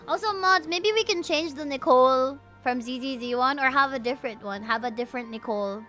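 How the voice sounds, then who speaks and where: A woman's voice: high voice, fairly quick, soft background music. A woman, reacting casually and expressively as she livestreams a game. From Philippines. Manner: expressive, exclamatory.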